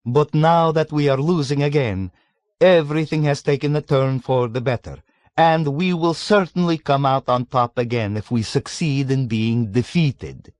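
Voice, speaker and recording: A man voicing a cynical, suave 107-year-old man who mocks with relish, pitched above his own voice. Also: moderate pace, darkish tone, no echo, natural voice. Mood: scoffing. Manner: suave, mocking, scoffing.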